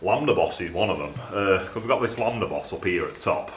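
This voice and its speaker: Low-voiced Northern English man, echoey workshop. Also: expressive, fairly quick, a little hiss or hum. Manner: informal, rambling, thinking aloud. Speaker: exhaust fabricator and video presenter. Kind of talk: casual workshop talk to camera. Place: indoors in a small cluttered workshop. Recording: roomy, echoey workshop sound.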